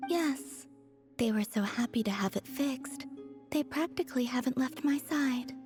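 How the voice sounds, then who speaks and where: Soft, high-voiced serene moon maiden. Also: female voice, lively intonation, over music. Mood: serene, softly curious. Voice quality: soft, breathy. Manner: unhurried, formal, dreamy, understated. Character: serene, gentle, enigmatic young maiden of the moon. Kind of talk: anime game character dialogue.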